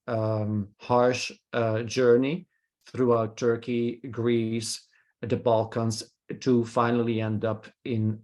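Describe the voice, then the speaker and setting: A man from Belgium, leading a film discussion over a video call, pausing often with filler sounds and checking in with "hmm?". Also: medium pitch, darkish tone. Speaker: discussion leader, professor.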